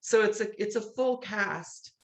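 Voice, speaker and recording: A woman's voice: mid-range voice, a little slow. A middle-aged woman chattily telling stories as an interview guest, often acting out remembered dialogue. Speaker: guest, musical playwright. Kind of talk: animated interview storytelling. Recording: roomy, echoey sound.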